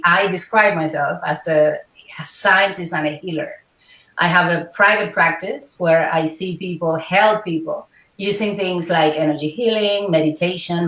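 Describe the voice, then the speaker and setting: A woman's voice: sits low, a bit bright. A Cuban-born woman in her forties, a scientist and healer, speaking thoughtfully in an interview with many hesitations. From Cuba. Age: 40s. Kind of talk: interview conversation. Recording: echoey room, far from mic.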